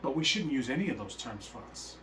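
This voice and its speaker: A man's voice: medium pitch, lively intonation, fast, pushed and bright, reverberant. A man teaching a religious class, emphatic and repeatedly checking that his listeners understand. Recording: faint hiss, speaker far from mic.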